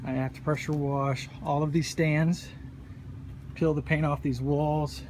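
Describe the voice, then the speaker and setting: A man's voice: fairly high pitch, flat delivery, a little slow, a little mellow, slight background noise. A man talking casually and matter-of-factly as he walks a job site, with fillers and restarts, recorded on a phone outdoors in a stadium. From United States. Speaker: pressure washing contractor. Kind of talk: casual walkthrough narration. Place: outdoor high school stadium stands.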